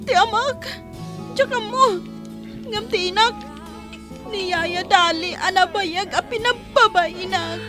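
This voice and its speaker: A woman's voice: very high-pitched, takes it slowly, pauses often, music in the background. A woman acting a hurt, ashamed young adopted daughter in an Ilocano radio drama, plaintive and emotional. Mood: ashamed, distressed.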